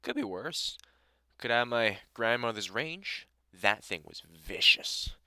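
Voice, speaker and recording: Man playing a sardonic British vampire hunter, crisp and bright. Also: mid-range voice, sing-song, slow pace, dry recording, full character voice. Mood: teasing, amused. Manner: dry, sarcastic, chatty, informal with mild swearing. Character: gruff, sardonic but welcoming male vampire hunter. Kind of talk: conversational one-sided audio roleplay addressed to the listener.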